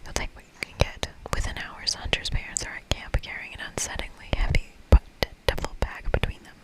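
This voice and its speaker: An ASMR host whispering as they read a video game aloud, in a breathy, unvoiced whisper. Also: fairly quick.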